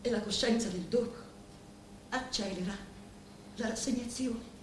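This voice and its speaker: A grieving yet composed Sicilian woman, acted by a female stage performer speaks slowly and solemnly to a mob boss. Each phrase is weighed and stressed in the manner of a theatrical radio drama. The room rings with echo, over faint background noise. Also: fairly high pitch, lightly acted. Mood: sorrowful.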